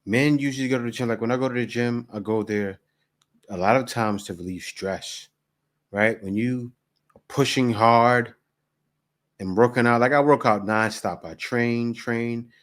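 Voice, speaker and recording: A man's voice: mid-range voice, normal speed. A man in his forties giving loose, conversational reaction commentary and repeating himself. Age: 40s. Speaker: host reacting to a video.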